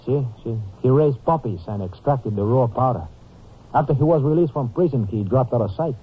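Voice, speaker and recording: A man's voice: medium pitch, brisk, husky, close to an everyday voice. A man acting a courteous, earnest Mexican police officer with a Mexican accent and formal, careful phrasing in an old-time radio drama. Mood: formal, informative. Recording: noisy, narrow-band old radio recording.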